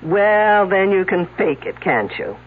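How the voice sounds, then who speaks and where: A woman's voice: mid-range voice, very animated, measured pace. An actress playing a confident, wisecracking female detective in a radio mystery, needling the suspects in a sardonic way. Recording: tinny old radio broadcast.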